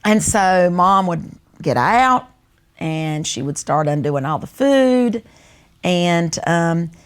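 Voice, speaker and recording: A woman's voice: fairly low pitch, lively intonation, takes it slowly, clean tone. A woman in her sixties with a North Carolina Southern accent, telling stories and reminiscing in casual conversation. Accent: North Carolina Southern American. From North Carolina, United States. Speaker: co-host.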